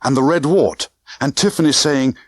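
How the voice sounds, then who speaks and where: A man's voice: medium pitch, moderate pace, slightly rough, dry recording, clean studio sound. A man reading a thriller audiobook in a tense, theatrical manner with clipped short phrases. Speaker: audiobook narrator. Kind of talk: dramatic scripted narration of a spy thriller novel.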